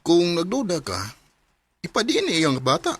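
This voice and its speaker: An actor playing a blunt young buddy who sows doubt in a radio drama, with a Cebuano accent. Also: male voice, sits high, huge pitch swings, moderate pace, husky, no echo, heavily acted. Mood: insistent, suspicious. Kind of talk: Filipino radio drama acting.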